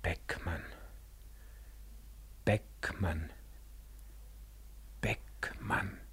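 An actor playing an accusing, ghostly one-legged giant in a 1940s radio play, solemn and menacing with a husky, hollow voice. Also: male voice, deep voice, fairly even intonation, very slow, a bit bright, a little hiss or hum, heavily acted. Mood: haunting, insistent.

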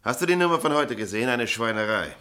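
An actor in a German radio play playing a middle-aged, brusque, sarcastic veteran newspaper editor. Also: male voice, mid-range voice, lively intonation, fast, sharp tone, clear, resonant tone. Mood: sarcastic scolding. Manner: brusque, sardonic, bossy.

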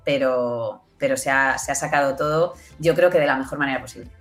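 A woman's voice: very deep voice, brisk, soft background music. A woman giving chatty, emphatic answers as an interview guest, repeating herself for stress. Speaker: guest, audio fiction producer.

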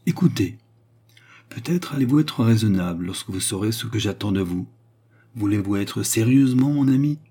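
A secretive former courtesan, acted out by a man speaks in formal, emphatic storybook phrases. The voice is husky and mellow, solemn and commanding, with the weight of repentance. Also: on the low side, lively intonation, moderate pace. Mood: firm. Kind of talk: dramatic storybook dialogue.

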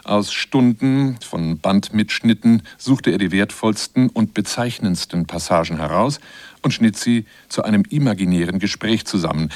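Low-voiced man narrating a radio documentary. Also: darkish tone, no room sound. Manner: measured, formal.

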